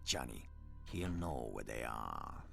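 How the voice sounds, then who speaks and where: An actor playing a grave, honour-bound former gangster in a video game, speaking solemnly and deliberately in a gravelly voice. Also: male voice, extremely low pitch, sing-song, no room sound, faint music behind, full character voice. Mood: grave, wary.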